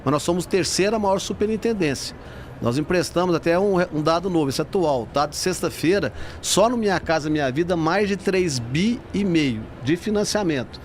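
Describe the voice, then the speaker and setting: A Brazilian man speaks in a fairly high male voice, explaining things informally like an executive being interviewed. Faint trade-fair hall noise is heard behind him. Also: lively intonation, normal speed. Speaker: bank executive, interview guest. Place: convention centre trade fair.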